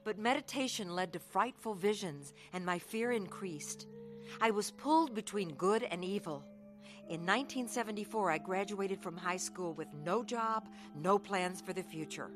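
A woman's voice: medium pitch, lively intonation, moderate pace, over music, lightly acted. An actress playing a restless, reckless young woman telling her life story in a radio drama. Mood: earnest, regretful. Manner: earnest, confessional storytelling. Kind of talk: dramatised first-person radio testimony.

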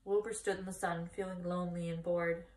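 A woman in her thirties reading a children's story aloud in a deliberate, expressive manner. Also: lowish voice, reverberant. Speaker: story reader. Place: small indoor room against a bare wall.

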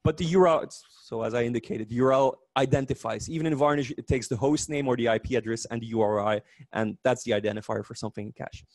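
A man with a Dutch accent gives a lively, joking technical talk at a meetup. His voice sits at a middle pitch and sounds dry and close, with little room echo. He often restarts his sentences as he explains. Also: fast talker. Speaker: conference speaker.

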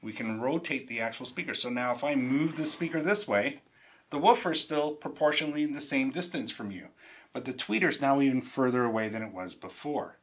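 A man's voice: mid-range voice, animated, a little fast. A man in his fifties giving an informal, explanatory product talk in an emphatic, conversational, step-by-step way. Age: 50s. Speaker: product reviewer and presenter. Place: indoor studio room.

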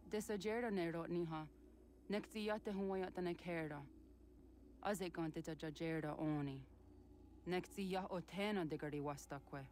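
A woman playing a solemn guiding woman in a game, with a very low and steady voice. She speaks in a measured, unhurried way. A faint hiss sits under the sound. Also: lightly acted. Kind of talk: game dialogue in a native language.

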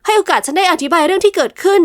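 A woman voicing a frightened young woman who pleads slowly and timidly, in a storybook reading. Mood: pleading. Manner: pleading, trembling, slow and high.

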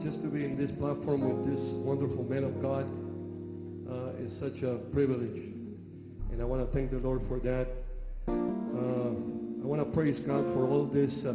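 A middle-aged man with an Argentine Spanish accent preaches from a church stage into a handheld mic, joking and stumbling through restarts. His voice rings with long reverb in a big sanctuary, and music is clearly audible behind him. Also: mid-range voice. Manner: halting, frequent restarts and fillers, humorous storytelling. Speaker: bishop. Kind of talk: sermon. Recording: echoey church hall with music.

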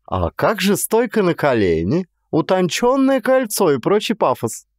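An expressive young woman played by a male narrator in an audiobook, pitched up high with lively swings. She is playful and teasing, yet tender and emotional with her lover. The sound is dry and close. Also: unhurried, no room sound, heavily acted. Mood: amused. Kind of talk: audiobook character dialogue.

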